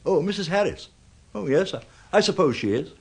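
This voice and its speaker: An actor playing a fussy, polite but prickly older clerk with an English accent in a British radio drama, stiffly formal and hesitant. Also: male voice, high-pitched, lively intonation, brisk, vocal fry, slightly rough, full character voice. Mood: prickly, guarded.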